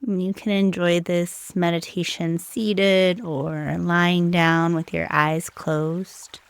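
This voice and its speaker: A woman leading a guided meditation in a soothing, unhurried way, leaving long pauses between short phrases. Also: lowish voice, little pitch movement, clear, resonant tone, no room sound.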